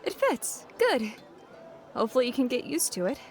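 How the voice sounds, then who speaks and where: A woman playing a brisk, practical female companion in a fantasy audio drama. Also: a little high, sing-song. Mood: matter-of-fact.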